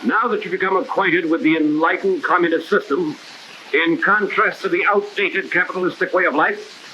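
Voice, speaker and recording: A man's voice: on the high side, sharp tone. An actor playing a stern communist official who formally declaims to his comrades in a 1960s propaganda film. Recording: dull, hissy old film soundtrack.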